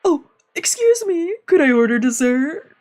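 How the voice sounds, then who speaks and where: A woman voicing a fussy, excitable, sweet-toothed young man in a visual novel, with expressive, scolding and gushing delivery. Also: sits high, unhurried, pitched above the performer's own voice. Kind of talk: live dubbing of a visual novel.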